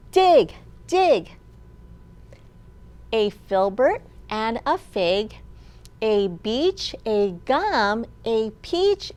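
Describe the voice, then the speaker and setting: Slow, high-pitched woman children's storytime reader. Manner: simple, deliberate phrasing addressed to young children. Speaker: children's librarian and storytime host. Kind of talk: children's storytime with singing and read-aloud.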